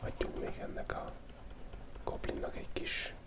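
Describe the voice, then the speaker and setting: A man from Hungary with a cold, whispering playful ASMR commentary as he sketches, through a small mic taped right to his face. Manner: playful, joking asides while sketching. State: has a cold. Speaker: YouTube drawing channel host. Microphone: small mic taped to his face, very close.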